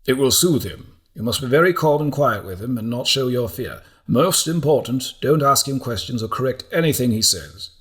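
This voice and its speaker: A man voicing a calm, decisive older monastic mentor, firm and instructive, in an audiobook. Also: mid-range voice, normal speed, few pauses, pitched above the performer's own voice, natural voice. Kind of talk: audiobook character acting.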